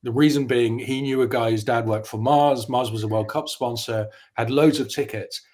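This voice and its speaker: A man's voice: mid-range voice, fairly even intonation, moderate pace, darkish tone. A journalist in his fifties who grew up in the Netherlands, speaking as an interview guest in an articulate, opinionated, reflective way. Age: 50s. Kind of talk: conversational interview.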